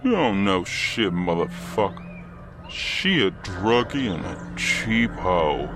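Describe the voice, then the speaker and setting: A man's voice: deep voice, big pitch swings, slow pace, music in the background, exaggerated voice. A man playing a crude, belligerent, abusive drunk in an audio drama. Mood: contemptuous. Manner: crude, swearing, hostile.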